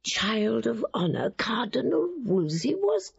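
An actress playing an ailing, weary noble queen in a Shakespearean audio drama, with a creaky, breathy voice. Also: a little high, big pitch swings, highs cut off, full character voice. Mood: pained, weak. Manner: slow, pained, dignified.

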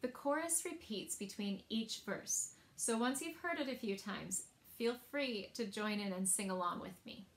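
A woman's voice: mid-range voice, a little slow, hardly any pauses. Woman, thirties, children's story time presenter. Simple, explanatory delivery, recorded at home about a metre from the mic. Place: small indoor room at home.

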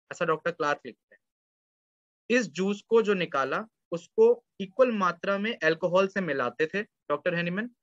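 Man, 30s, online lecturer; high voice, reads quotes slowly; dry lo-fi webcam sound. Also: a bit bright, dry recording. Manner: explains in Hindi, reads English passages slowly. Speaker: homeopathy lecturer. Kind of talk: online lecture explaining slides. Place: indoor room, webcam lecture. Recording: dull, lo-fi sound.

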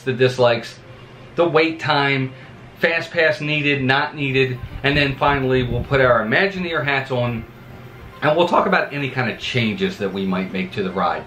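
Upbeat man vlogging in an echoey room. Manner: upbeat, lively pitch swings. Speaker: theme park channel host. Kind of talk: scripted vlog talk to camera. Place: home office with a monitor.

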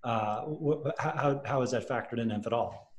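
A man's voice: mid-range voice, moderate pace. A man in his thirties hosting a podcast interview over a video call, asking long, looping questions full of fillers. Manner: jokey, rambling questions with fillers. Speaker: podcast host. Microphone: headset on video call.

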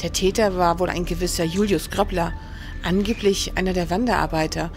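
A woman's voice: lowish voice, fairly even intonation, a little fast, music in the background, natural voice. A woman playing an attentive, gossipy tavern landlady in a period crime radio play, with obliging, old-fashioned formal speech. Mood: confiding, informative. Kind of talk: German period crime audio drama.

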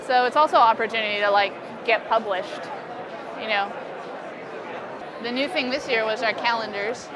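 Busy convention-hall chatter surrounds a young American woman. Her voice sits slightly high, and she explains things informally, like an artist chatting with an interviewer at her booth. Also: moderate pace, pauses often. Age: young adult. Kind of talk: casual, informal interview presentation. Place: indoor convention expo booth. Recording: busy crowd noise around the voice. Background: convention hall crowd chatter.

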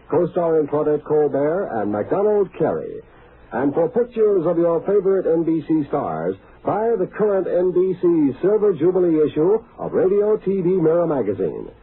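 A man's voice: fairly high pitch, husky. A man reading network promotions in a 1950s radio announcer style. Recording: muffled, fuzzy old radio recording.

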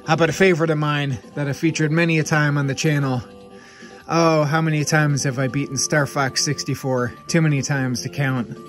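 A man hosting a gaming channel, chatting casually while he recommends games, sounding a little stuffed up from congestion and keeping an upbeat, chatty manner. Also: mid-range voice. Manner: upbeat, chatty, quick asides. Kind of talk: casual game recommendations to camera. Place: room with game shelves, indoors.

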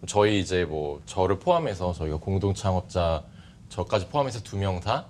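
A man in his thirties giving polite, measured explanations as an interview guest. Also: low voice, expressive, a little mellow, slight background noise. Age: 30s. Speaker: interview guest, startup CEO. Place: bright TV studio. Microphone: lapel mic.

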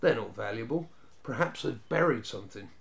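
A man's voice: medium pitch, expressive, brisk, gravelly. A man voicing a plucky small boy in a children's storybook, speaking in a stout, defiant, childlike way. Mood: dismissive. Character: Barney, plucky small boy standing up to bullies.

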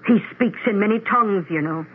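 A woman's voice: mid-range voice, fairly quick, lightly acted. An actress playing a plain-spoken, pious, motherly housekeeper who frets, in a 1970s radio drama. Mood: upset, fretful. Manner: homely, plain-spoken, fretful. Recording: muffled, hissy 1970s radio recording.

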